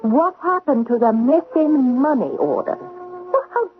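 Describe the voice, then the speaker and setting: An actress playing a spirited, plainspoken and indignant frontier postmistress in a radio drama. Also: female voice, sits high, lively intonation, measured pace. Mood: wry, indignant. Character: spirited, indignant young frontier widow running a post office. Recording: narrow-band vintage radio, heavy noise.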